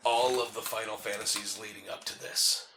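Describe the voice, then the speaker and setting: A man chatting casually as co-host of a video game playthrough, telling stories in a rambling, animated way. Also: on the low side, moderate pace, a little hiss or hum. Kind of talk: casual chat over gameplay.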